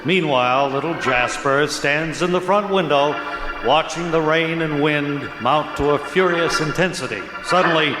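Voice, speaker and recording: A man hosting and announcing in an old-time radio comedy style, deadpan and formally polite. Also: fairly high pitch, moderate pace, music in the background. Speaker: radio show host and announcer. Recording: echoey, noisy old radio recording.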